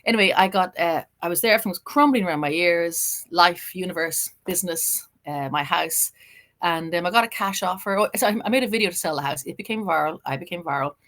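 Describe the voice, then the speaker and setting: A middle-aged Irish woman, a journalist and writer, chatting as a podcast guest and telling her story in an animated way. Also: fairly low pitch. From Ireland. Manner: animated storytelling, quick and lively. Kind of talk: casual interview chat.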